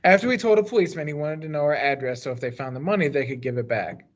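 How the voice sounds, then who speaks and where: An American man reads a children's story aloud in a slightly high-pitched voice. He leans into the start of each phrase and lets the endings drop. The recording is a little dull and lo-fi. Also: very fast. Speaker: teacher reading a book aloud. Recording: dull, lo-fi sound.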